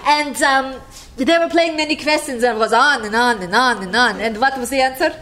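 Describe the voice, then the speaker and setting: A woman's voice: high voice, moderate pace, fairly bright tone. A woman with an Icelandic accent telling rambling stories about a friend to a gathering, chuckling often as she talks. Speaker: speaker sharing memories of a friend. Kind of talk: casual storytelling to a gathering.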